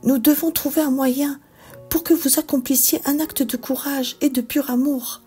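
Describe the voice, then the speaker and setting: A woman's voice: sits high, steady tone, moderate pace, dark tone, faint music behind, pitched above the performer's own voice, close to an everyday voice. A woman voicing a small, kindly male elf from a children's storybook, concerned and earnest. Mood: earnest, resolute. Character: kindly little male elf helper with sparkling wings.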